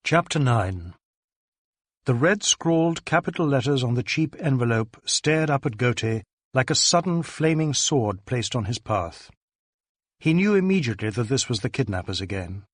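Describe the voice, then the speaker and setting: A man's voice: mid-range voice, expressive, moderate pace, no echo, studio quality. A man reading a detective novel aloud as a dramatic audiobook narrator.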